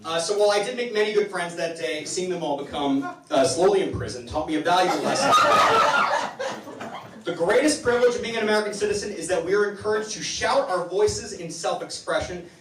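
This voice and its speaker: A man hosting a live comedy show, telling stories in a halting, rambling way with stammered restarts. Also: sits high, animated. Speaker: host of a comedy town hall show. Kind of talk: stand-up comedy monologue to a live audience. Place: indoor live comedy venue with audience. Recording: roomy, distant, noisy live venue recording.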